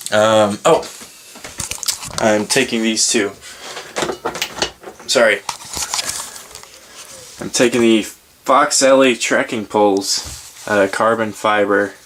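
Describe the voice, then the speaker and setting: A man giving a casual, unscripted walkthrough in a halting, informal way, as if thinking aloud, indoors on a handheld camera. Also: medium pitch, pushed and bright. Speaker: hiker presenting his gear. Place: indoors in a room at home. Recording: background hiss, handling clicks and crinkling.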